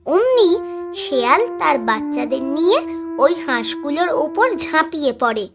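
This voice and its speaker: Female cartoon narrator: scripted storybook delivery, high voice, expressive swings, music bed. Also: moderate pace. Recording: music behind the voice.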